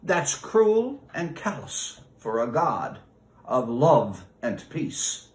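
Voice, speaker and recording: A man's voice: mid-range voice, big pitch swings, very slow. A man reading a story as an earnest, indignant man who pleads and argues. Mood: shocked, indignant. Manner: earnest, argumentative. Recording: roomy echo.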